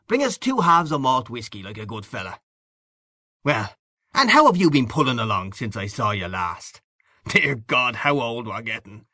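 A man's voice: medium pitch, bright, forceful tone, no echo, studio quality, voice pushed up. A man voicing a hearty, boastful newspaperman in an audiobook, breezy, expansive and patronising. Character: boisterous, boastful, hearty Irish newspaperman back home. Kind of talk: audiobook character dialogue.

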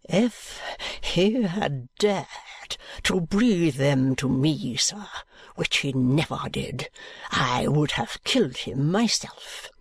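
A man's voice: fairly high pitch, lively intonation, vocal fry, no room sound, full character voice. Man putting on a haughty old baronet. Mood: stiffly indignant. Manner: stiff, haughty, slow and deliberate. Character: proud elderly aristocratic baronet, stiff and indignant. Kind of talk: audiobook character reading.

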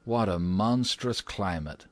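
A man voicing a calm, practical diplomat and family man in an audiobook, with a deadpan, unflappable manner. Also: deep voice, moderate pace, dry recording, lightly acted. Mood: calm, opinionated. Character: calm, practical American minister, unflappable husband and father. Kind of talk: audiobook character voice.

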